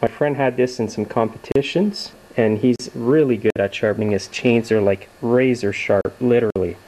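A young man hosting a casual show-and-tell video in his garage workshop, explaining in a relaxed, plain-spoken way. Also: mid-range voice, moderate pace, low-quality recording. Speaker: video host. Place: home garage workshop, indoors.

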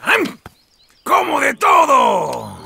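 An actor voicing a fierce, greedy wolf with a shouting, menacing manner in a children's cartoon dub. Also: sits high, wildly swooping pitch, very slow, gravelly, exaggerated voice. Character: fierce, greedy wolf who eats everything.

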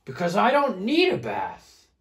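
A storyteller voicing a big, grumpy, stubborn male bear who growls and shouts in a children's story. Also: mid-range voice, wildly swooping pitch, unhurried, pitched above the performer's own voice, exaggerated voice.

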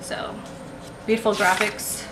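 Woman rambling thru a haul at her table, lots of ums, roomy echo and steady noise behind. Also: mid-range voice, a little slow. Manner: rambling, many ums and restarts. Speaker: haul vlogger. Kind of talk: casual show-and-tell haul. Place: indoors at a table, camera over the table. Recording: echoey room with background noise.

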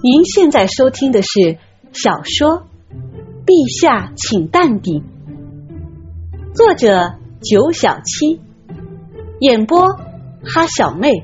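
High-voiced, unhurried woman announcer over music. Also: very animated, a little mellow. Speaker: audiobook announcer. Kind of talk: scripted programme announcement. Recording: dull lo-fi audio. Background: plucked guitar music.

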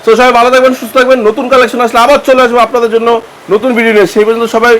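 Fast-talking high-voiced man vlogging. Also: little pitch movement, fairly bright tone, a little hiss or hum. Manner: eager, exclaims often, wraps up to viewers. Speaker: vlogger host. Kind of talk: casual shop tour vlog.

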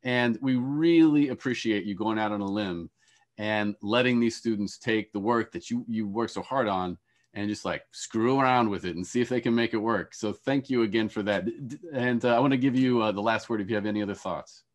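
A middle-aged man moderating an online panel, speaking haltingly and correcting himself, on a headset over a video call. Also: on the low side. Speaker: panel moderator, theatre professor. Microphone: earphone headset on a video call.

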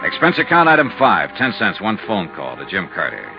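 An actor playing a hard-boiled, persistent insurance investigator in a 1950s radio drama, brisk, dry and insistent. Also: male voice, sits low, huge pitch swings, gravelly, music in the background, heavily acted. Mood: dry narrating. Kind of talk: 1950s radio drama acting and first-person narration. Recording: noisy, lo-fi 1950s radio recording.

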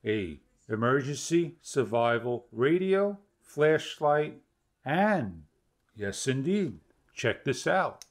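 A man in his fifties giving an instructional product demonstration to camera, with halting phrasing. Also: medium pitch, very animated, a little hiss or hum. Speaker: product reviewer. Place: small home studio room, indoors.

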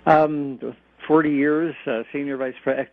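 A man's voice: a little high, level tone, a little slow, dry recording. A man in his sixties, an expert guest on a radio interview, explaining things plainly with everyday comparisons over a phone line. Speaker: expert guest, former nuclear industry executive.

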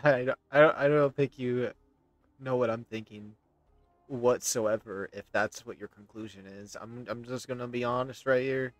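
A man's voice: mid-range voice, fairly even intonation, normal speed, dry recording, faint music behind. A man in his thirties doing casual, rambling, hesitant gameplay commentary and reading game dialogue aloud as he streams. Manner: rambling, hesitant, self-interrupting.

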